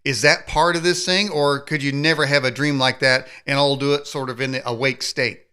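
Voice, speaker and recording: A man hosting a podcast interview who asks rambling, halting questions full of fillers. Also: medium pitch, fairly even intonation, sharp tone, clean tone. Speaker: podcast host.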